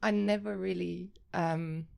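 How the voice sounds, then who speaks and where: A woman's voice: on the low side, fairly even intonation, slow, gravelly, darkish tone, smooth. A woman in her late twenties with an Italian accent, a native Italian speaker, chatting casually as an interview guest and often rephrasing as she goes. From Italy. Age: late 20s.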